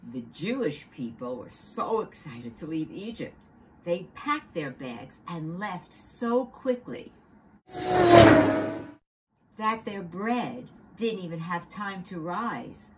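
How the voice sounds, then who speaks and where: A woman storyteller narrating for children in an expressive, dramatic manner. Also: lowish voice, slow pace. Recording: noticeable background noise.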